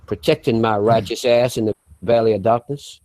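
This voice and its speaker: A man playing a righteous, vengeful American gunman who recites scripture in a deliberate, menacing, preacherly way with an American accent. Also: lowish voice, fairly even intonation, a little mellow, lightly acted. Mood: menacing, reflective.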